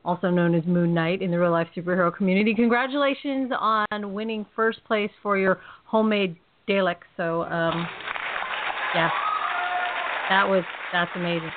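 A woman's voice: medium pitch, steady tone, moderate pace, some chatter behind, slight background noise. A woman with a California accent hosting a casual radio show, chatty and rambling, laughing often. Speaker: host. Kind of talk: casual podcast chat. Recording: tinny phone-line audio.

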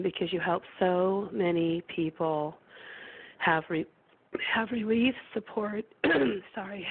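Woman caller, hoarse and sick, gravelly low voice, earnest and grateful, tinny phone line. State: hoarse, sick and losing her voice.

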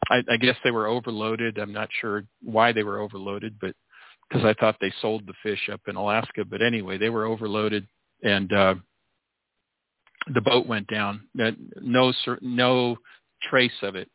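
Man in his sixties, interview guest, halting and rambling delivery, tinny phone line. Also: lowish voice, level tone, no echo. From United States. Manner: rambling, many restarts and fillers. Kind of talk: casual interview chat.

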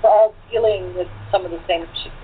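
A woman's voice: fairly low pitch, steady tone, fairly quick. An American woman chatting casually as a guest in a remote group interview. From Portland, Oregon, USA. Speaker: cast member being interviewed. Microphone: online call. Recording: thin phone-like call with background noise.

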